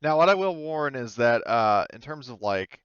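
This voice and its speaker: A man's voice: mid-range voice, expressive, normal speed, a bit bright, smooth, no room sound, dull audio. A man running a tabletop game as game master, talking in a tentative, conversational way. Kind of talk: casual tabletop game narration.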